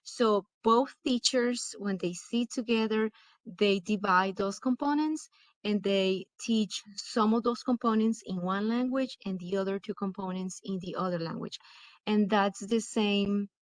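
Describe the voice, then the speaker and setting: Female educator for webinar talk, explains step by step, pauses mid-phrase, mid-pitched, dry sound. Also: no echo. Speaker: dual language program educator presenting. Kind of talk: webinar presentation.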